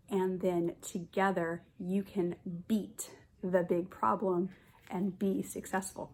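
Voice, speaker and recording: A woman in her forties reading a picture book aloud to young children as a kindergarten teacher, expressive and explaining as she goes. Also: medium pitch. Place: living room at home, indoors.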